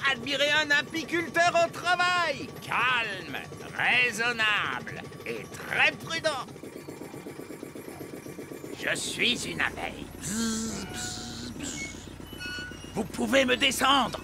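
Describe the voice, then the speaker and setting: An actor voicing a pompous, fussy old elf in a French cartoon dub, speaking with emphatic self-importance. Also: mid-range voice. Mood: self-important. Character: pompous old wise elf man playing beekeeper.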